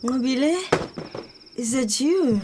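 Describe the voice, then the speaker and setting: A woman's voice: sits high, animated, takes it slowly, warm tone, slight background noise. An actress playing a stern but protective, outspoken woman in a radio drama, with a South African accent. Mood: alarmed, concerned.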